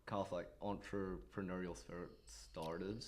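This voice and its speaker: A man's voice: low voice, steady tone, measured pace, a little mellow. A man of twenty-one co-hosting a student podcast, asking short, curious questions. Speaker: co-host, student. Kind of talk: casual interview questions.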